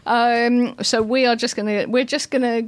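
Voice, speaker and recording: A woman's voice: a little high, steady tone, moderate pace, no echo. A woman hosting a radio show in a studio, friendly and joking, often restarting her sentences. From England. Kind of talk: casual radio interview hosting.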